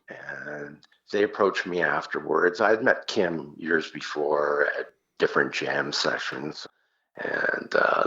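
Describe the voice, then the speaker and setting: A man's voice: rumbling low, pushed and bright. A man reminiscing in casual conversation as an interview guest, telling stories and acting out short quotes, on a dull, muffled recording. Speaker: interview guest, rock singer.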